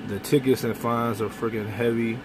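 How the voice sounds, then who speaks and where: A man's voice: mid-range voice, moderate pace. A young man, a truck driver, talking bluntly and with animation to a phone camera from his truck's sleeper cab. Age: young adult. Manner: blunt, animated, with mild cursing. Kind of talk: casual vlog monologue. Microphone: phone camera close up. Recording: road and air-conditioning noise in truck cab. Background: vehicle and air-conditioning hum.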